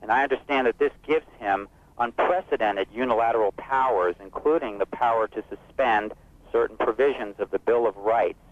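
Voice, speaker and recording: An American man calling in to a radio show by telephone, politely asking a deliberate, formally worded question. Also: mid-range voice. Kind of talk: phone-in question. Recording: narrow, tinny phone line.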